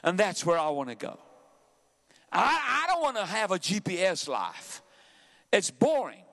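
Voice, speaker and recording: A South African man preaching a sermon to his church, dramatic, dropping to hushed asides and then climbing loudly. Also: high voice, big pitch swings, a little slow. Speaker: pastor.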